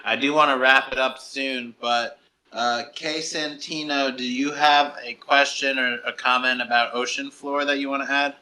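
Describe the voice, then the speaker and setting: A man's voice: medium pitch, flat delivery, moderate pace, sharp tone, rough recording. A man hosting a casual live audio discussion, speaking informally with some slang. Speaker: host.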